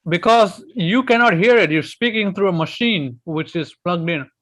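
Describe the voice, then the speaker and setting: A man with a slightly high voice speaks directly and patiently, walking someone through a tech problem on a video call. His talk is casual and plain. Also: moderate pace, fairly bright tone. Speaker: meeting co-host. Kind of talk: casual chat.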